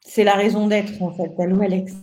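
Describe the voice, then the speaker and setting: A woman's voice: medium pitch, flat delivery, fairly quick, dark tone. Earnest Frenchwoman on a webinar panel. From northern France. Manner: earnest and explanatory, with frequent hesitations. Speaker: panelist, self-employed business owner.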